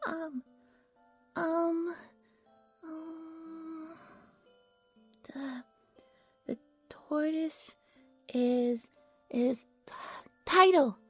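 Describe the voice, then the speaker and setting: A woman's voice: extremely high pitch, fairly even intonation, drawn-out words, pauses often, a bit bright, full character voice. A woman voicing a timid but eager little bunny girl in an audio drama, with a small childlike voice and a hesitant, stammering delivery. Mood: shy, unsure. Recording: music under the voice.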